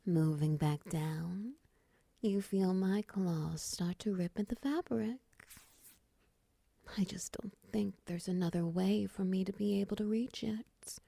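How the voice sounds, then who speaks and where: A woman playing a friendly, teasing half-spider monster in an intimate audio roleplay, soft-spoken, breathy and often close to a whisper. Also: medium pitch. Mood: caring, reassuring. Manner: gentle, teasing, slow murmurs with soft chuckles.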